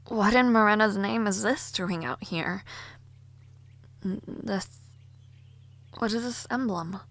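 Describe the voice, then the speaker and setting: A woman's voice: fairly high pitch, no echo, heavily acted. A woman playing a cheerful, headstrong young noble lady mage in an audio roleplay drama, with genteel, old-fashioned phrasing. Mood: puzzled, curious. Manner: genteel, old-fashioned, chatty phrasing with soft laughs and sighs.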